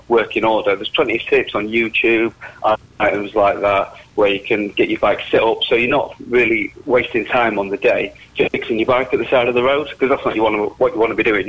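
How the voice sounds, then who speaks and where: A middle-aged man talks quickly with a husky edge. He is a phone-in radio guest, easygoing and informal, on a dull, muffled telephone line. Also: on the low side, a bit bright. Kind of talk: interview answers with practical tips.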